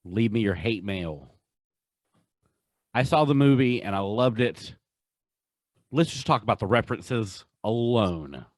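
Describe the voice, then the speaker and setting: Middle-aged man, lower-pitched, dry close sound. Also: expressive, measured pace, long pauses, no echo. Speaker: podcast host. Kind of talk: casual podcast chat.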